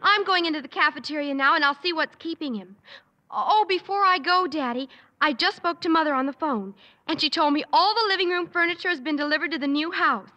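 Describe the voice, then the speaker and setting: An actress playing a sweet, coaxing teenage daughter in a 1950s radio sitcom. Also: female voice, high voice, brisk, bright, forceful tone. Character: sweet chirpy teenage girl, principal's daughter.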